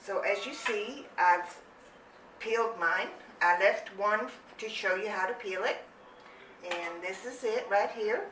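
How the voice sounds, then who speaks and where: A woman's voice: medium pitch, slow pace, fairly bright tone, slight background noise. Older woman from germany walking you through a recipe in her kitchen, echoey room, mic a bit far off. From Germany, Rhineland-Palatinate. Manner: homey, chatty, step-by-step explaining. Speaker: cooking channel host. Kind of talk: casual cooking demonstration. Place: home kitchen.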